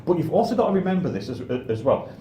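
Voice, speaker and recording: British male co-host, middle-aged, rambling and repetitive, dark relaxed tone, roomy sound with faint noise. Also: on the high side, fast talker. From United Kingdom. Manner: rambling, repeats and restarts phrases often. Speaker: co-host of a film review show. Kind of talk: casual discussion and reminiscence.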